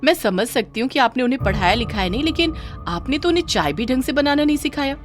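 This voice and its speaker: A woman voicing a spiteful, haughty young sister-in-law in a moral story, sneering, sharp-tongued and condescending. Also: sits high, lively intonation, fast talker, over music, pitched above the performer's own voice. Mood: complaining. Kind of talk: acted dialogue in a moral story.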